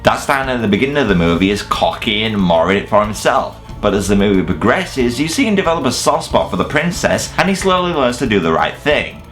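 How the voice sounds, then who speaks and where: A man's voice: on the low side, sing-song, fairly quick, few pauses, a bit bright, faint music behind. A man hosting a scripted countdown review, with casual swearing and mock-incredulous asides. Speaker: video reviewer and host.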